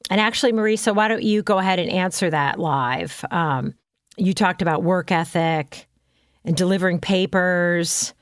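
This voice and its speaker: A woman's voice: fairly low pitch, normal speed, no echo, clean studio sound. A woman brand consultant coaching in a casual chat, talking freely with frequent ums and you-knows.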